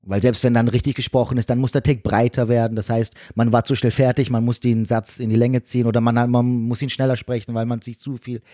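A man's voice: mid-range voice, little pitch movement, fast talker, hardly any pauses, dark tone, dry recording, studio quality. A man with a German accent speaking casually as a podcast guest and voice actor, explaining his work with emphatic repetitions. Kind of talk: casual conversational explanation of the craft.

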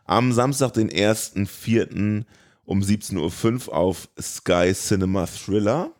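Male podcast co-host, lower-register voice, colloquial and jokey, moves easily between banter and reading out short items. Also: slow, darkish tone. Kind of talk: casual banter, reading out news and TV listings.

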